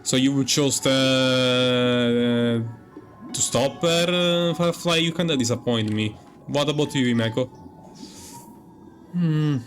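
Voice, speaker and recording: A man giving casual playthrough commentary as a gaming streamer, musing in a halting, repetitive way. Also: medium pitch. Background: game music.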